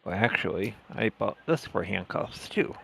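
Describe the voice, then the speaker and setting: A man co-hosting a lockpicking livestream, talking in a relaxed, easygoing way. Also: lowish voice, normal speed, creaky, slight background noise. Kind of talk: casual chat.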